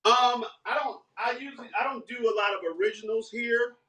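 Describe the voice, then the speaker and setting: A man's voice: very high voice, a little fast, bright, forceful tone. A man chatting casually on a live stream while cooking breakfast, laughing often and breaking into playful bits of song. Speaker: guest live streamer and singer. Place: home kitchen. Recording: echoey room, voice far from mic.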